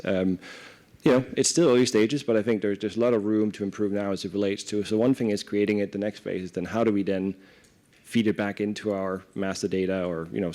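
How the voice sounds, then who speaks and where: A man's voice: on the low side, gravelly, a little mellow. A man from Denmark with a Danish accent, explaining things informally in a live interview and often checking in with "right?". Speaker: corporate director, interview guest. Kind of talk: conversational panel interview answers. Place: live event before an audience.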